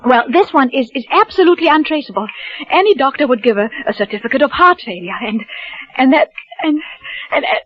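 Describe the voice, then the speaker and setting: A stammering, frightened young wife. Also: female voice, high-pitched, lively intonation, a little fast. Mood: confessing. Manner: stammering, nervous, breathless. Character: frightened young wife hiding her fear from her husband. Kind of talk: 1940s radio drama acting. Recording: muffled old radio broadcast.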